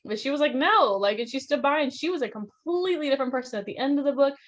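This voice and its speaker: A woman's voice: sits high, fast, lo-fi sound. A woman in a book club, talking casually and acting out quotes from the book. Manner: animated, acts out quotes.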